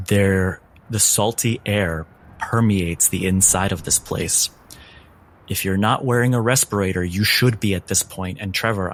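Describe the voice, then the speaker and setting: A man narrating a tabletop roleplaying game as game master, with deliberate, dramatic phrasing. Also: low-pitched.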